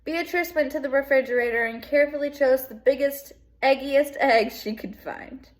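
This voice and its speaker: A woman teacher reading a children's picture book aloud in an expressive way, with casual asides to young pupils. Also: high voice, moderate pace. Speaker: second grade teacher.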